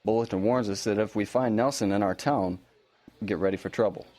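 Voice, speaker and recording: A man acts as an easygoing small-town police officer in an audio drama. His voice sits on the low side, and he talks politely and informally, with a touch of awkwardness. The dialogue feels natural and conversational. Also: brisk, warm tone, close to an everyday voice. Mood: informative.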